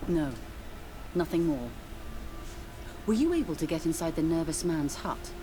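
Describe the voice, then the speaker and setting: Low-voiced devout religious sister. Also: female voice, lively intonation, unhurried, dark tone, slight background noise. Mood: inquiring. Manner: formal, earnest. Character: devout, formal female sister of a religious order. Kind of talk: video game voice acting. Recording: game music behind voice.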